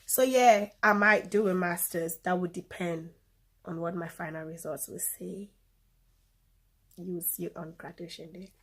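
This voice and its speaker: A woman's voice: lowish voice, fairly even intonation, a little slow. A woman in her twenties with a Cameroonian accent chats casually to camera as a vlogger, informal and given to emphatic repetition, recorded on a phone camera. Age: 20s.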